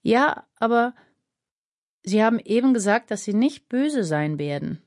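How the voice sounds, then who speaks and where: A woman's voice: on the high side, sing-song, a little slow, darkish tone, no echo, clean studio sound, pitched above the performer's own voice. A woman as an anxious, pleading little boy. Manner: pleading, frightened. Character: anxious, trusting young boy.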